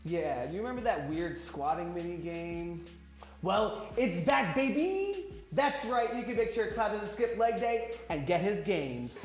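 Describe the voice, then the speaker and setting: Young male co-host, higher voice, casual jokey, echoey room. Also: sing-song, measured pace, soft background music. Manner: loose, jokey, fillers. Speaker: news co-host. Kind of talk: casual news banter.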